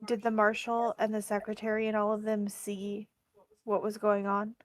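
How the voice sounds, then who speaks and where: A woman talks casually as a player in a tabletop game. Her voice sits at a middle pitch and stays flat and even in tone. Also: moderate pace.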